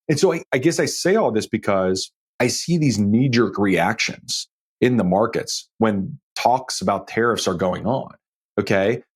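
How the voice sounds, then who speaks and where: A man's voice: mid-range voice, slightly rough. Emphatic American man hosting a podcast. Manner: emphatic, frequently checks in with listeners. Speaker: podcast host. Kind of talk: solo financial commentary podcast.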